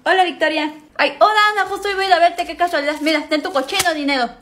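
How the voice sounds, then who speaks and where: A young woman acting out a comedy sketch, switching quickly between characters in a small room at home. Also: very high voice, over music. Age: young adult.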